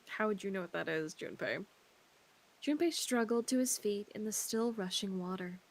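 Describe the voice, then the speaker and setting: A woman's voice: medium pitch, animated, normal speed. A woman co-hosting a visual novel stream, reading narration aloud with casual, sweary asides. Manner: informal, sweary.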